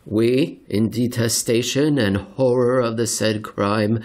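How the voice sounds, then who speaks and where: A man's voice: medium pitch, steady tone, takes it slowly, darkish tone, natural voice. Man reading as a stern, solemn medieval judge. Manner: solemn, formal, declamatory. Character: stern, pompous medieval judge pronouncing a death sentence.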